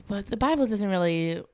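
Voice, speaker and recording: A woman's voice: mid-range voice, darkish tone, dry recording. A young adult woman with a stuffy nose, co-hosting a comedy podcast in playful, laughing chat.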